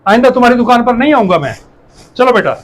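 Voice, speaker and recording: A hot-tempered, scheming father acted by a man in a moral drama. His high voice blusters and brushes others off. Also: animated, fast talker. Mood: indignant bluster. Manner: blustering, dismissive. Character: cunning, hot-tempered father and dishonest customer. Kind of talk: moral story drama acting.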